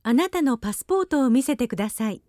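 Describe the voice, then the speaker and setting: A woman giving short, clear phrase prompts for a language lesson at a brisk pace. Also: fairly high pitch, lively intonation, a little mellow, no room sound, clean studio sound.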